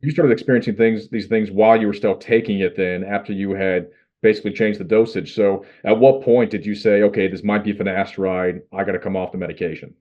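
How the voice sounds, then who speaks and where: A man hosting an interview, quickly summarizing what the guest said and prompting him with follow-up questions. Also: lowish voice, steady tone. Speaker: host. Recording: dull lo-fi audio.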